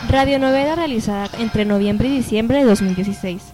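A woman's voice: fairly high pitch, fairly quick. Woman narrator with music behind. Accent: Colombian. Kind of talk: scripted radio drama narration.

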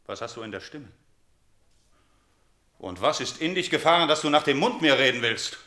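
A man's voice: fairly high pitch, expressive, normal speed, bright, forceful tone. An actor playing an imperious, suspicious usurper king in classical radio tragedy, demanding and theatrical, in an echoing room.

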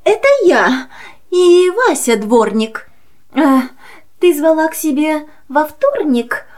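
A genteel, homeless she-cat from a children's audio play. She speaks in a high voice at a slow pace. Her manner is plaintive and pleading. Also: expressive.

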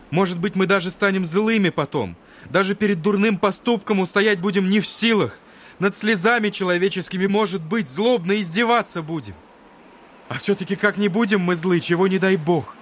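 An actor playing a gentle, earnest young man who speaks to schoolboys in a solemn, heartfelt way in an audio drama. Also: medium pitch, a little hiss or hum, natural voice. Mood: fervent. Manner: solemn, heartfelt speechmaking with deliberate pauses. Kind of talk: Russian literary audio drama acting.

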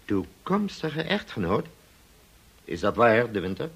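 An actor in a radio play playing a stern male colonel, husky, brisk and questioning, with an air of authority. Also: medium pitch, sing-song, full character voice. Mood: brisk, sceptical.

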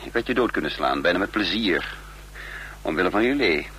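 Shrewd bossy secret operative in a radio drama, lowish voice, dry and controlled, bit of old-tape hiss. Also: male voice, expressive, a little fast, sharp tone. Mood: brisk, commanding. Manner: sardonic, controlled, formal literary wording. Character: shrewd commanding man, a cool seasoned operative who narrates. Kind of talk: radio drama acting and narration. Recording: noisy, humming old radio drama recording.

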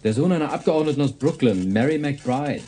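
An actor playing a blunt, casual police detective in a naturalistic radio drama, speaking colloquially. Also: male voice, fairly high pitch, expressive, fairly quick, mellow, close to an everyday voice. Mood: matter-of-fact.

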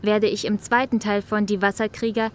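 An actress playing a composed, probing reporter who narrates a documentary-style radio play. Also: female voice, medium pitch, flat delivery, moderate pace, a little hiss or hum, close to an everyday voice.

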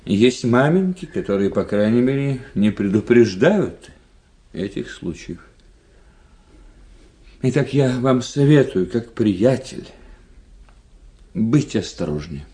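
An actor playing a wry, chatty, worldly bachelor doctor in a radio drama, telling things drolly with deliberate hesitations. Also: male voice, lowish voice, warm tone. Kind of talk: Russian radio drama acting.

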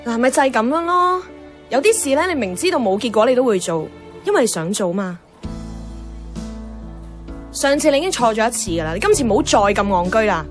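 A woman's voice: on the high side, big pitch swings, brisk, long pauses. An actress playing an outspoken young woman in a Hong Kong Cantonese radio drama, blunt and teasing, moving between dialogue and inner narration. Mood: urging, exasperated. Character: outspoken, headstrong young woman, a radio host chasing her dream. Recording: music under the voice.

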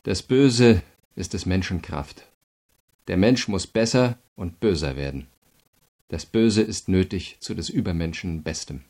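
An actor playing a calm, insistent state prosecutor who questions a prisoner in a measured way, in a radio crime drama. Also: male voice, low-pitched, breathy edge, exaggerated voice. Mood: insistent, challenging.

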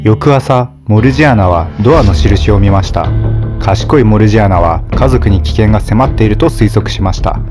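Man narrating a fairy tale, steady, measured, low voice, clear music underneath. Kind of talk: scripted storybook narration.

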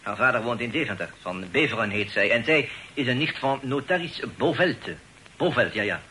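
An actor playing a sly, polite, teasing portrait painter and landlord with a French accent and halting phrasing sprinkled with French, in a Dutch radio play. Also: male voice, medium pitch, animated, bright, forceful tone. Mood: firm, protective. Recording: noisy old radio play recording.

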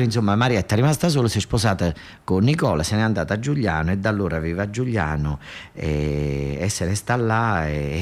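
A man's voice: lowish voice, a little mellow. A man with a southern Italian accent telling a story as a colloquial theatrical monologue, emphatic and repetitive, often putting questions to his listeners. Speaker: solo stage storyteller and actor.

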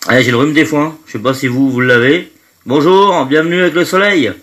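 A man's voice: on the high side, a little fast, fairly bright tone. A man hosting a live stream from home, stuffy with hay fever, chatting casually and greeting his viewers one by one. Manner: chatty, greets viewers by name, trails off with fillers.

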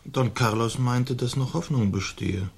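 A man's voice: medium pitch, measured pace, gravelly, slightly rough, full character voice. An actor in a German radio play, playing a languid, dutiful young nobleman who talks in a formal, plaintive way. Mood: reluctant. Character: languid young nobleman, peace-loving, dutiful, weary son.

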